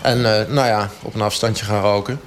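An actor playing a flustered, evasive gym worker who haltingly corrects himself in a mock radio reportage. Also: male voice, lowish voice, steady tone, slight background noise, natural voice. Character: flustered, hesitant male gym worker, stammering excuses.

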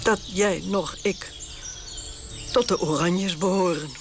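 A woman's voice: lowish voice, takes it slowly, over music. An actress playing an aging, strong-willed queen mother in a radio drama, talking in an imperious, indignant way. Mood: grave. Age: older.

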